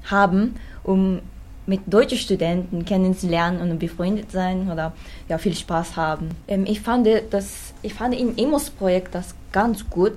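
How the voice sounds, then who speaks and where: A woman's voice: medium pitch, a little mellow. Young Japanese-accented woman. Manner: hesitant, frequent um fillers. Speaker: exchange student. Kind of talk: interview answers in learner German.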